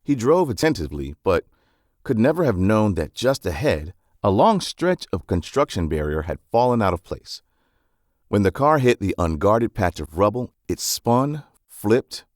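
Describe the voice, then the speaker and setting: A man's voice: fairly low pitch, a little mellow, no echo, studio quality. A man reading a scripted non-fiction book summary as narrator, with polished, emphatic phrasing.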